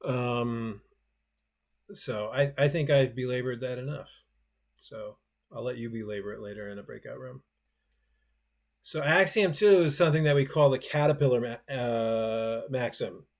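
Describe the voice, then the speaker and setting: A man's voice: mid-range voice, moderate pace, a little mellow. A man hosting an online workshop in a loose, conversational, self-deprecating way. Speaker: workshop host. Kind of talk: webinar presentation.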